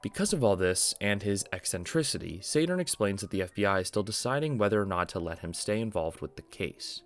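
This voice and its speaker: A young man hosting a video essay, reading scripted narration in a measured storytelling explainer style. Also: on the low side, expressive, soft background music. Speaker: video essay host explaining an analog horror series.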